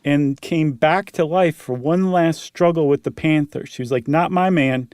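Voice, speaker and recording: A man's voice: fairly high pitch, moderate pace, a little mellow, smooth, no echo, studio quality. An American man from Ohio co-hosting a podcast, moving between casual chat and dramatic reading of a horror story. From Ohio, United States. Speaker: podcast co-host. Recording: faint music under the voice. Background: faint music.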